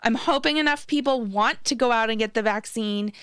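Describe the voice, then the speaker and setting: A woman's voice: fairly high pitch, brisk, fairly bright tone, smooth, dry recording, clean studio sound. An American woman co-hosting a podcast, in casual chat about politics. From United States. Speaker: podcast co-host.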